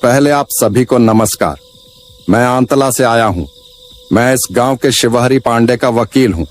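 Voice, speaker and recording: Earnest male stranger acted by a man, mid pitch, formal, proud, cartoon drama, quiet music and insects. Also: measured pace, lightly acted. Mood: polite. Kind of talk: acted dialogue in a story cartoon.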